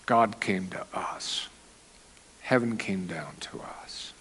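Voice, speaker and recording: A man's voice: deep voice, slow, a bit bright, whispered. A man preaching a sermon into a microphone, in a conversational teaching manner with loose asides. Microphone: microphone with volume control.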